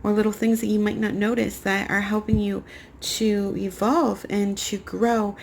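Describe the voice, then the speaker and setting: A young woman giving a rambling spiritual tarot reading to camera, her voice raspy as she gets over a cold. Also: medium pitch, flat delivery, unhurried, a little hiss or hum. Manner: soft, meandering spiritual talk with many fillers. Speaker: tarot card reader.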